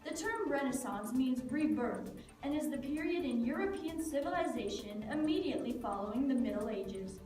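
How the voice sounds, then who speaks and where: A woman with a high voice reads scripted narration for a puppet show. The room rings heavily with echo and she is slightly far from the mic, with faint music behind. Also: expressive, moderate pace, hardly any pauses, mellow.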